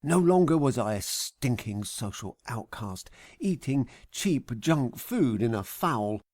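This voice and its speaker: A middle-aged man reading scripted first-person comic narration in a wry, deadpan, mock-grand manner. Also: medium pitch, no room sound, clean studio sound. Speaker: author reading own audiobook.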